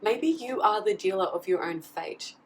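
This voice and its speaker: A woman with a husky voice from a recent flu, giving a casual tarot reading and often checking in with "okay?". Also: medium pitch, normal speed. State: husky, getting over a flu. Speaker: tarot reader. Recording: slightly distant mic, faint background noise.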